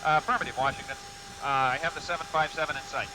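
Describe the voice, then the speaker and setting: A man's voice: fairly high pitch, steady tone, fast, close to an everyday voice. A man playing an airline pilot in a training film, in clipped cockpit radio talk. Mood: alert. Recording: loud aircraft noise, distant mic.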